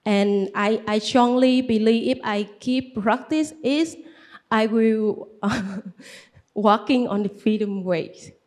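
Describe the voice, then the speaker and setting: Slow, higher-voiced woman, non-native English speaker. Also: a little mellow. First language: not English. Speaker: participant sharing at a Buddhist gathering. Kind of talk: personal testimony.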